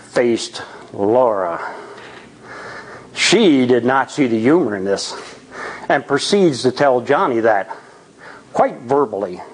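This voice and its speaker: A man telling a spooky tale live in a theatrical, suspenseful way, with deliberate dramatic pauses. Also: mid-range voice, rough recording. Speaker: storyteller at a Toastmasters tall tales event.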